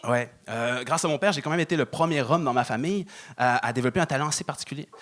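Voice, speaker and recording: A young man doing stand-up on a club stage, deadpan, stalling with fillers. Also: medium pitch. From Moroccan family. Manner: deadpan, halting with many fillers. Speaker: stand-up comedian. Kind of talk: stand-up set.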